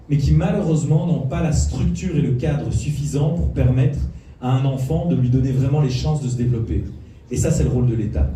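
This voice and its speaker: A man's voice: medium pitch, mellow. Insistent man giving a speech. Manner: insistent, repeats his key points. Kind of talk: impassioned political speech. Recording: echoey hall from far off, faint noise.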